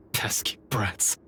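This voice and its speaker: An actor playing a bitter, indignant hunter turned betrayer in an anime video game, theatrical and scornful over music. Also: male voice, sharp tone, slight background noise, heavily acted. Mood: grudging. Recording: music clearly behind the voice.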